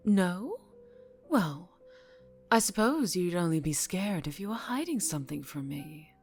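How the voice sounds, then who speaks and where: A woman acting as a dangerously charming mafia boss in an ASMR roleplay, teasing and softly threatening, unhurried, slipping into whispers. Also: medium pitch. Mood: teasing menace.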